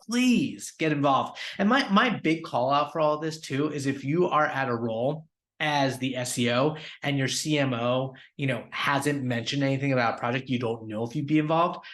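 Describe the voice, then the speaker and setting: A man's voice: medium pitch, moderate pace, fairly bright tone. A man hosting a weekly industry podcast, recapping news in an upbeat, conversational way with fillers and restarts. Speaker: podcast host.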